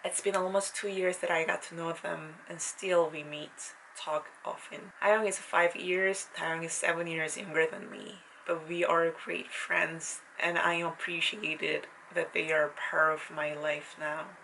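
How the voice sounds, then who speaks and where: A woman in her thirties, born in South Korea with Korean as her first language, gives a slow, earnest, scripted personal narration in English. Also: lowish voice, flat delivery, vocal fry, pushed and bright, a little hiss or hum, rough recording. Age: 30s. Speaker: vlogger.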